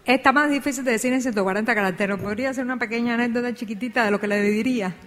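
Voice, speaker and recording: A Cuban woman speaking at a public talk and answering audience questions, measured and reflective, often tagging her points with "¿no?". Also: a little high, a little hiss or hum. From Cuba. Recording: long hall reverb.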